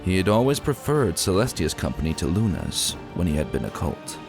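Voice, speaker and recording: A man's voice: low-pitched, sing-song, fairly quick, gravelly. A man reading a fantasy story aloud in a solemn, theatrical manner. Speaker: audiobook narrator. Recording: music behind the voice. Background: music.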